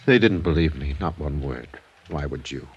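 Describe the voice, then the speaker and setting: An American actor playing a weary, bitter, soft-mannered ex-con drifter near sixty in a 1950s radio crime drama. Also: male voice, very deep voice, normal speed, slightly rough, lo-fi sound, exaggerated voice. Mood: resigned.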